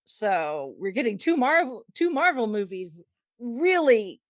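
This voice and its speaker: A woman chatting casually about films as a podcast panel host, often halting and trailing off mid-sentence. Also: fairly high pitch, big pitch swings, no echo, clean studio sound. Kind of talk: casual film discussion.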